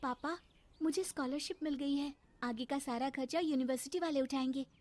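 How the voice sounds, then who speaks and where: A woman voicing a dutiful, self-reliant young stepdaughter in a cartoon family drama, plain-spoken and quietly defiant. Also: high voice, moderate pace, voice pushed up, close to an everyday voice. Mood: determined.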